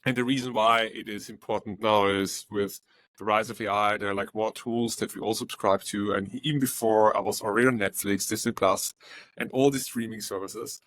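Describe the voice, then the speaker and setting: A man's voice: fairly low pitch, fairly even intonation, moderate pace, fairly bright tone, slight background noise, poor audio. A man from Austria with an Austrian German accent, a startup founder answering interview questions earnestly, with many ums and uhs. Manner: earnest, with frequent um and uh.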